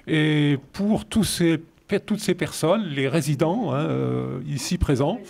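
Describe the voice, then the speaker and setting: A man, a priest acting in the play, speaking haltingly with many pauses. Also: fairly high pitch, creaky, a little mellow. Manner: halting, full of fillers. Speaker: priest playing a part in the play. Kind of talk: short interview.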